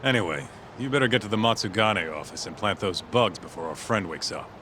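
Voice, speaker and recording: A man's voice: on the low side, a little fast, sharp tone, slight background noise. An actor playing a blunt, streetwise tough-guy sidekick in a video game cutscene, crude but loyal. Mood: teasing. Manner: blunt, slangy, swearing.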